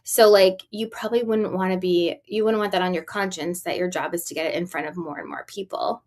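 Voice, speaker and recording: A woman's voice: fairly low pitch, fairly quick. Woman podcast co-host, chatty and emphatic. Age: 40s. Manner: animated storytelling with rhetorical questions and emphatic asides. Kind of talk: casual conversational business news chat.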